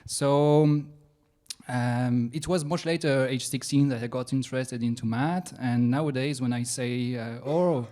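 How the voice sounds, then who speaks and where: A young man from France with a French accent, doing a science stand-up comedy set in a self-mocking, halting way with playful asides. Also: mid-range voice, mellow. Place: comedy venue stage.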